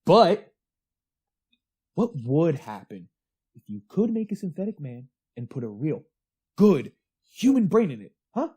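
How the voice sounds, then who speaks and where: An actor playing a dreamy, excitable workshop hand who talks eagerly and repeats himself, in a retro radio drama. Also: male voice, fairly high pitch, unhurried, pauses often, slightly rough, exaggerated voice. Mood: excited wonder. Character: dreamy, excitable male workshop hand fascinated by mechanical men.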